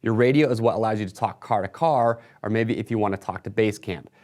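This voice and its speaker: A man's voice: lowish voice, fairly quick, darkish tone. Man presenting a product walkthrough. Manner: casual, explanatory sales patter. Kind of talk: product walkthrough for a retailer video.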